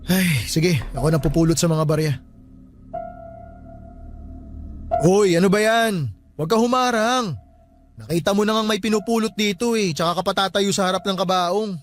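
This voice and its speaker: A man's voice: high voice, a little fast, lightly acted. A man with a Filipino accent playing a grieving, superstitious, jumpy young friend in a radio drama. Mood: alarmed. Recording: faint music under the voice.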